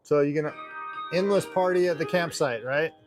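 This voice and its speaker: Enthusiastic higher-pitched man reviewing a product. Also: moderate pace, music in the background. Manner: enthusiastic, geeky, chatty. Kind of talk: casual unboxing and demonstration.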